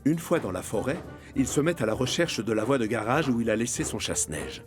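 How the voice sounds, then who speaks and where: A man narrating a children's story from a script in an expressive storytelling manner. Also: mid-range voice, a little fast, music in the background.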